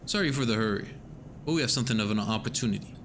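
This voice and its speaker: A man's voice: fairly low pitch, rapid-fire, a bit bright, a little hiss or hum, close to an everyday voice. A man reading a grim, bitter station worker. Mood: urgent. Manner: urgent, grim storytelling. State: voice going hoarse.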